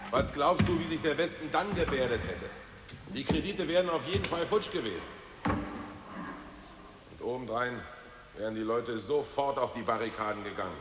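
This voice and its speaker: A man's voice: mid-range voice, lively intonation, moderate pace, pauses often, long reverb tail, noisy background, lightly acted. An actor playing an ousted male Politburo member, blunt and stubborn, in a 1980s radio play. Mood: bitter.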